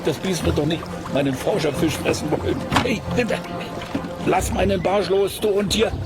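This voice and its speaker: A man playing a curious, excitable, good-humoured explorer in a children's audio play, chattily exclaiming and muttering to himself. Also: high-pitched, normal speed, over loud music, close to an everyday voice. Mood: alarmed, defiant. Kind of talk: German children's radio play acting.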